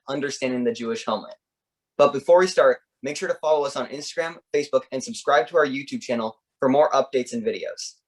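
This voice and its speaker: A man with a medium-pitched voice speaks as the host of an event. He delivers a prepared, scripted-sounding introduction. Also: low-quality recording.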